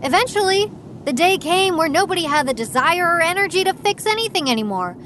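A woman's voice: very high-pitched, animated, a little fast, a bit bright, a little hiss or hum. A woman playing a cocky, defiant young streamer who hunts demons in an audio drama, brash and scornful. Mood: proud, callous.

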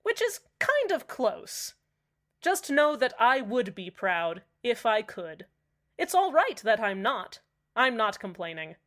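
A woman playing an eerie small-town community radio host in a scripted fiction podcast, deadpan and measured, with deliberate pauses. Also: a little high, heavily acted. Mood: deadpan, chatty. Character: eerily cheerful female small-town community radio host, uneasily upbeat. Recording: music under the voice. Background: background music.

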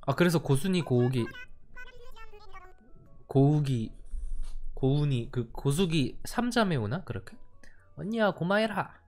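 A man's voice: medium pitch, expressive, unhurried, long pauses, darkish tone, faint music behind. A young man casually commenting while playing a cozy life game, playful and reactive, chatting to his viewers. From standard-Korean-speaking region of Korea. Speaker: game streamer.

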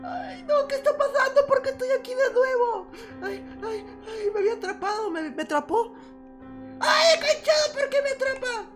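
A woman's voice: extremely high pitch, expressive, normal speed, faint music behind. A woman voicing a jumpy, easily frightened young character who squeals and cries out constantly, then speaks to viewers at the end. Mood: panicked. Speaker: channel character addressing viewers. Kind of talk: comic horror skit.

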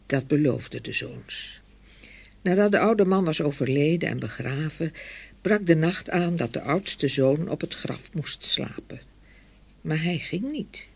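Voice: medium pitch, animated, a little slow, vocal fry, darkish tone, husky. A storyteller giving a dramatic scripted narration of a fairy tale. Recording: faint ambient noise behind voice.

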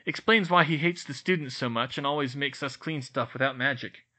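A man's voice: mid-range voice, brisk, sharp tone. A man voicing a blunt, cheeky schoolboy wizard.

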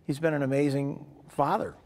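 A man's voice: a little high, fairly even intonation, normal speed, gravelly, darkish tone. A man in his sixties answering interview questions earnestly in a farm shed, often repeating words as he starts a thought. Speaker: interviewee, farmer philanthropist. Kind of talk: sit-down interview. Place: large farm machinery shed.

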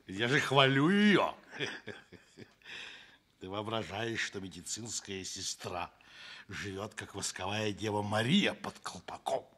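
An actor playing a jovial, bawdy forty-year-old train engineer in a radio play, teasing and coarse. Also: male voice, lowish voice, expressive, slow pace, long pauses, fairly bright tone, heavily acted. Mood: boisterous, teasing. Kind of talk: Soviet radio play acting.

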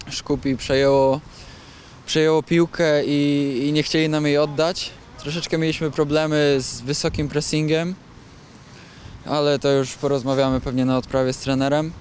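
Young man, footballer, post-match interview, hesitant, outdoor night pitch, handheld mic, faint ambience. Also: a little mellow, slight background noise. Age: young adult. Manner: hesitant, with restarts and fillers.

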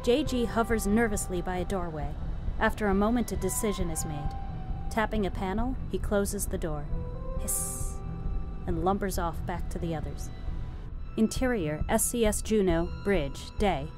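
A woman's voice: mid-range voice, normal speed. Woman narrating a script over music. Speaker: narrator reading stage directions.

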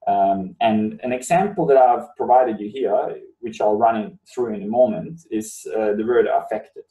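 A man's voice: lowish voice, normal speed, warm tone, dull audio. Bosnian-native man lecturing in a roomy space. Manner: explanatory, repeats words for emphasis. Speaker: university lecturer. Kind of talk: webinar lecture. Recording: roomy sound, mic at a distance.

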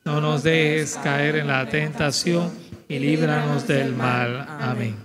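A man's voice: a little high, fairly even intonation, slow pace, over loud music. A middle-aged man, a priest leading prayers and addressing a congregation in a large church over a microphone. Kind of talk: liturgical prayer and announcements to a congregation. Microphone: microphone on altar stand or handheld.

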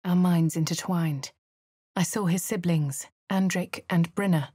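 A breathy posh English adventurer. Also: female voice, fairly low pitch, fairly even intonation, a little slow, mellow, no echo. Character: posh female adventurer, wry and watchful. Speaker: voice actor for a player character. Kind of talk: video game voice lines. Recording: dry studio booth.

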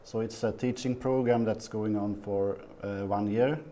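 Low flat-voiced Swedish man. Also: a little hiss or hum. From Sweden. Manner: halting, many fillers. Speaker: guest. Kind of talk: casual interview. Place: small TV studio.

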